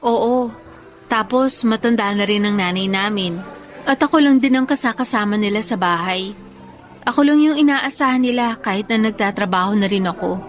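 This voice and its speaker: A woman playing a tired, affectionate young schoolteacher in a Filipino radio love drama, speaking softly and earnestly. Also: medium pitch, moderate pace, soft background music, natural voice. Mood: weary, confiding.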